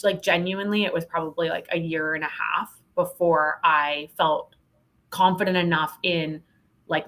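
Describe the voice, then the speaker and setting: Lower-pitched woman, candid and reflective. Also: fairly bright tone. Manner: frank, reflective, frequent "like". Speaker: podcast guest, sales coach. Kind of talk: candid conversational storytelling.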